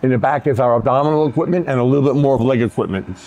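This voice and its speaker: A man's voice: medium pitch, very fast, darkish tone, slight background noise. Blunt gym owner in his sixties. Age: 60s. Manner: blunt, plain-spoken. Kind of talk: casual interview and gym tour.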